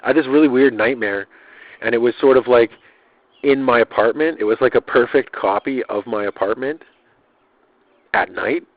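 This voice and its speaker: A man's voice: medium pitch, moderate pace, long pauses, dry recording, phone-quality sound. A man keeping a casual audio diary, rambling in a loose, slangy way with swearing and repetition. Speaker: personal audio diarist.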